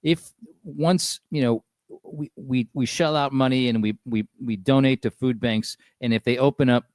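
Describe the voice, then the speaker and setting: A man hosting a podcast interview who speaks haltingly, searching for his words. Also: mid-range voice, fairly even intonation, darkish tone, no room sound.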